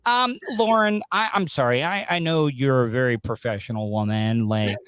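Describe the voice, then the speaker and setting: A man's voice: mid-range voice, fairly bright tone, clear, resonant tone, no echo. Rambling male podcast host, dry studio sound. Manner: rambling, halting delivery. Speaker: podcast host interviewing a guest. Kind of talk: casual interview. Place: small home studio with acoustic foam. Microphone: close studio microphone on a boom arm.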